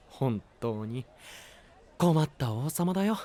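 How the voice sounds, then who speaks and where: Lively, exasperated boyish young knight. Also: male voice, mid-range voice. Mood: fondly resigned. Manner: brisk, boyish, exasperated retorts. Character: young male knight, exasperated, diligent royal aide. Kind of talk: anime-style voice drama acting.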